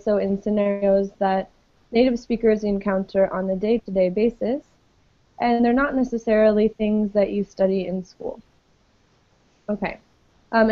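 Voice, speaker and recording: A woman who teaches English, leading an online group class in a patient, friendly way with many pauses and ums. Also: mid-range voice, no room sound. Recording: dull lo-fi web call audio.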